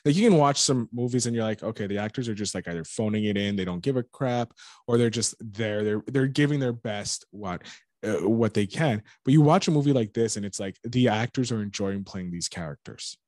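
A man's voice: on the low side, brisk, darkish tone, no room sound, studio quality. A Canadian man chatting as a podcast guest, rambling and enthusiastic, joking as he talks. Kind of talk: casual podcast chat.

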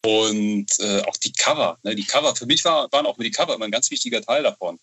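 A man's voice: on the low side, fast talker, pushed and bright, slightly rough, highs cut off. A middle-aged man chatting casually and enthusiastically as an interview guest, often repeating the starts of phrases as he searches for words. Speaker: podcast interview guest, novelist.

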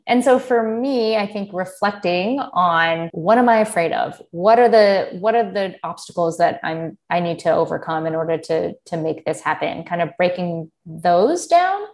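A woman's voice: lowish voice, darkish tone. An American woman in her thirties, a podcast guest, speaking in a candid, reflective way and quoting her own thoughts. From United States. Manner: earnest, candid, quotes herself in bursts. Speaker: podcast guest, former Olympic gymnast. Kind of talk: reflective interview talk.

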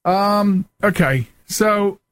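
Higher-voiced man hosting talk radio, dry sound. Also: extremely slow, no room sound. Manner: rambling, self-deprecating. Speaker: radio talk show host. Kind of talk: casual chat, reading aloud in places. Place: small studio at a desk.

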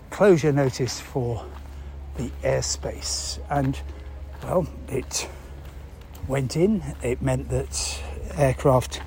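Man explaining, mellow voice, background noise. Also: medium pitch. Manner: halting, thinking aloud, with rhetorical questions. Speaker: presenter explaining news. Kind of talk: explanatory talk to listeners, unscripted.

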